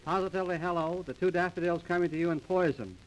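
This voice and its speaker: A man's voice: high voice, fast, over loud music, rough recording. A man announcing and trading patter in a 1930s radio comedy, with a mock-formal, wisecracking manner. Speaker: comedy duo host, announcer and straight-faced joker. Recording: early 1930s radio transcription disc.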